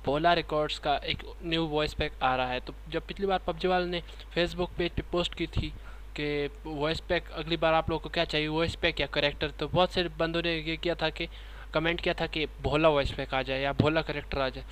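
A man's voice: on the high side, steady tone, fairly quick, a bit bright, smooth. A man introducing a video as a gaming channel host.

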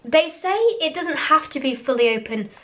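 A teenage girl vlogging from her bedroom, chatty and candid, with a hoarse voice that keeps cracking after a cough. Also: high-pitched, expressive, moderate pace, a bit bright. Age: teens. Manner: chatty, candid, a little giggly. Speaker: vlogger reviewing products. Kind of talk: casual chat to camera. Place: small bedroom with posters on the wall. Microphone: webcam in front of her face.

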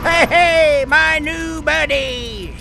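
A man's voice: extremely high pitch, extremely slow, creaky, bright, forceful tone, a little hiss or hum, exaggerated voice. A man voicing a cheerful, dim-witted country tow truck in a video game, with a folksy, exuberant Southern US drawl. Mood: excited, folksy. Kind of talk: animated video game acting.

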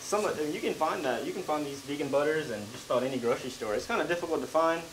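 A man's voice: fairly high pitch, fast. A young American man, a firefighter, chatting casually while he cooks. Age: young adult. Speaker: firefighter cooking a recipe. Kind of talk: casual chat. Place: firehouse kitchen, indoors. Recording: clear kitchen noise, sizzling pan.

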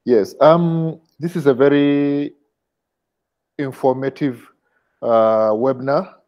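A man's voice: medium pitch, slow. A man with a Nigerian accent asking an unscripted question as an attendee on an online webinar. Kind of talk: unscripted question on a group call. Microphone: video call. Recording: dull, lo-fi video call audio.

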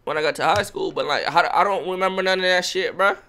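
A man live-streaming his reactions with loud, jokey slang and frequent laughter. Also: high-pitched, fast talker. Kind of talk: casual live-stream commentary.

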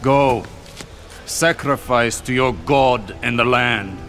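A man's voice: mid-range voice, takes it slowly, a bit bright, faint music behind. An actor playing an imperious, grudging king in a dramatized scripture reading, haughty and commanding.

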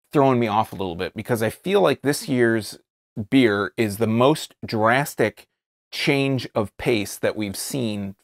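An American man in his thirties giving a casual, unscripted review to camera, halting and rephrasing as he describes things. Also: medium pitch, creaky, no echo, studio quality. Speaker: beer reviewer, video host. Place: small indoor studio, seated at a table.

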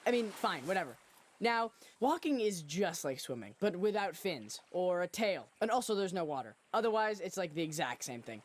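A young man voicing a cocky, breezy adolescent sea-monster boy in an animated film, with a youthful voice and a boastful, casual way of instructing. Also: high voice, normal speed, slight background noise. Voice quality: youthful, boyish voice. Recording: film music behind.

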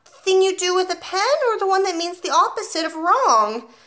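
Woman doing a high-voiced plucky little boy. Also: big pitch swings, normal speed, sharp tone, full character voice. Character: brave, cheeky small schoolboy.

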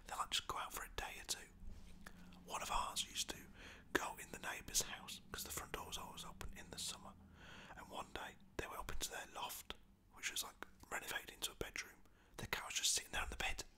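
A person with a British accent whispering casual, cheeky, slangy stories in a breathy ASMR style. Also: fairly quick, bright, forceful tone. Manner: cheeky, chatty, slangy, mild swearing. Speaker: storyteller talking about own pets.

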